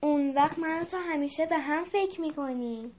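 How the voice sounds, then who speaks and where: Woman voicing a very high-pitched, sweet little storybook doll. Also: darkish tone, close to an everyday voice. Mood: tender, affectionate. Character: Mehraban, sweet lonely little doll, gentle and eager. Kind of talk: children's storybook voices.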